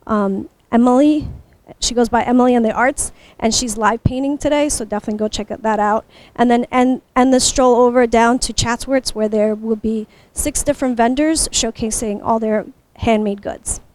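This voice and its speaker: A woman's voice: fairly high pitch, fairly even intonation, normal speed, a little mellow. A woman giving an informal guided rundown of a local event as its presenter. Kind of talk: informal event walkthrough to camera.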